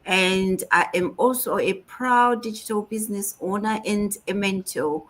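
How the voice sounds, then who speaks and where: A woman's voice: medium pitch, a little slow. A woman from Zimbabwe politely introducing herself as a panelist on a video call. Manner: polite, warm greetings. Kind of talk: self-introduction.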